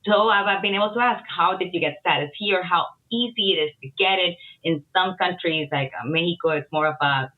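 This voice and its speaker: Woman attorney on a tinny line. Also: on the low side. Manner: explanatory, measured, opinionated. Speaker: immigration attorney, podcast guest. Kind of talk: casual podcast interview. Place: Miami.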